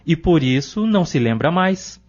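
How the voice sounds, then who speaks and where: A fairly high-voiced teenage son acted by a man in an audio soap opera. He is thoughtful, careful and respectful, quick to worry, and the recording sounds dull. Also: lively intonation, a little mellow, lightly acted.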